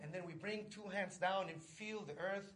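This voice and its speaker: High-voiced man leading a sing-along. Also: normal speed, low-quality recording. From northern Chile. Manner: gentle, encouraging, loosely worded explanations. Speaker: community music leader and bandleader.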